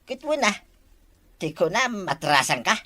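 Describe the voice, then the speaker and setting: A woman's voice: on the low side, big pitch swings, measured pace, bright, forceful tone, exaggerated voice. A woman with an Ilocano accent playing a scheming village gambler in a comedy drama.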